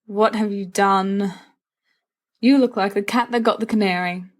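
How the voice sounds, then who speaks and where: A woman's voice: medium pitch, fairly even intonation, measured pace, natural voice. A woman voicing a sensible teenage schoolboy in a story, dry, weary and reproving. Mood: wary, weary.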